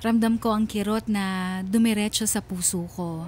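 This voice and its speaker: A woman's voice: medium pitch, steady tone, moderate pace, a little mellow, lightly acted. Actress as hurt woman telling her story on radio, mid voice, sad and reflective, music underneath. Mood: sorrowful, reflective. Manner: reflective, sorrowful. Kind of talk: first-person dramatic narration of a letter. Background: background music.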